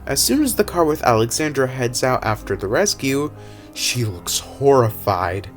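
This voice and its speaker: A man breaks down a movie in a casual, rambling way. His pitch swings widely as he gets worked up, he stumbles and corrects himself, and his voice is still recovering. Faint music plays underneath. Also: normal speed. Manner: animated, exasperated, rambling with self-corrections. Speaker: video essayist.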